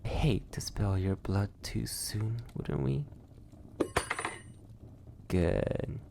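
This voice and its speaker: Man playing a deep, slow, sadistic vampire lord. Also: creaky, heavy background noise, heavily acted. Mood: commanding, pleased. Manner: silky, menacing, condescending endearments, often dropping to a whisper. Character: sadistic, possessive centuries-old male vampire lord. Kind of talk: intimate ASMR roleplay, slow and theatrical.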